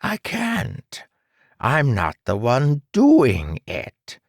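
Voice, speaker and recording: A smug, mocking mad-scientist villain from a superhero audiobook, played by a male narrator. He stretches his words with theatrical condescension, swinging widely in pitch over a creaky low register. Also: huge pitch swings, dry recording, clean studio sound, heavily acted. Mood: amused, dismissive. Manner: theatrical, condescending, drawn-out delivery.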